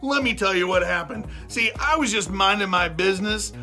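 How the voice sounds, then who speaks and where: A storyteller plays an aggrieved big bad wolf in a children's storybook tale. The voice is bright and forceful, expressive and full of exasperated repetition as he insists he meant well. Music plays along with it. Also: mid-range voice, moderate pace. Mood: aggrieved, explaining. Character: aggrieved, self-justifying big bad wolf, telling his side. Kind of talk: animated first-person storytelling for children.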